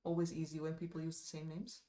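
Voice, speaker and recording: A woman's voice: sits low, monotone, fast, dark tone. A woman with a Dutch accent chatting casually to her live-stream viewers while she paints, rambling a little with fillers and asides. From Netherlands.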